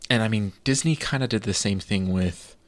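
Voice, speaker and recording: A man's voice: fairly low pitch, moderate pace, dry recording. A man co-hosting a podcast, chatting casually and enthusiastically with many fillers. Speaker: podcast co-host. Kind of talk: casual chat.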